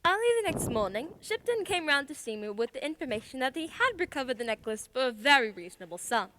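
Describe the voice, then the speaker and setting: A woman's voice: sits high, sing-song, fast talker, noisy background. A woman playing a confident, shrewd detective in a radio play, speaking in a formal, precise, theatrical way. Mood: composed, formal. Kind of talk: stage-style radio play acting.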